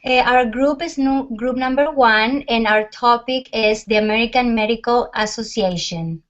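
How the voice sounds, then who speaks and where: A woman's voice: on the high side, moderate pace. A woman from Chile presenting for a student group over a video call, hesitant and restarting often. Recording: lo-fi online call audio.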